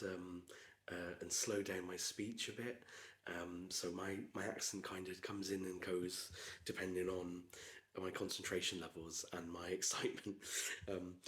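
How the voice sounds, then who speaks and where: A man in his forties with a Gloucestershire accent, slightly hoarse, chatting quietly and slowly for ASMR with lots of ums and drifts into whispers. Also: deep voice. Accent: Gloucestershire English. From Gloucestershire, England. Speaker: ASMR creator. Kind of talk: soft-spoken ASMR chat while building.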